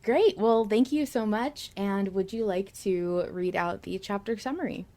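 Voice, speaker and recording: A woman's voice: medium pitch, unhurried, mellow. A woman hosting a casual fan discussion podcast, speaking with enthusiasm as she comments and reads out remarks. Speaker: podcast host.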